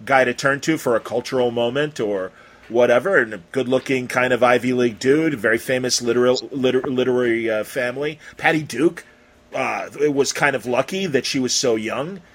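A man with an American accent interviews in a casual, conversational style. His voice is husky and sits at a middle pitch. He rambles effusively as he goes. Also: fairly quick. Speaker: podcast interviewer.

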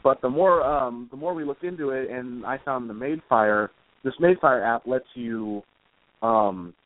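A man's voice: medium pitch, moderate pace, no room sound. A man chatting informally and a little ramblingly as an interview guest, over a tinny line. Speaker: interview guest, comic author and musician. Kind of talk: casual conversational interview.

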